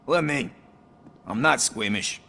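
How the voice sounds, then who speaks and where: A man's voice: mid-range voice, lively intonation, takes it slowly, creaky, sharp tone, heavily acted. A man playing a callous, sneering henchman in a video game. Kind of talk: video game cutscene acting.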